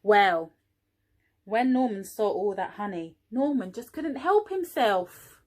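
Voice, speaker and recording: A woman reading a picture book aloud to young children, expressively, pausing for little asides to the listeners. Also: medium pitch, lively intonation, a little mellow. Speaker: early years teaching assistant reading a storybook.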